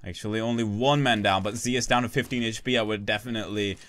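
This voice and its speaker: Bright-voiced solo esports caster, chatty. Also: male voice, lowish voice, fairly even intonation. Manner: rapid, chatty, self-interrupting, jokes with chat. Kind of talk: solo live game commentary.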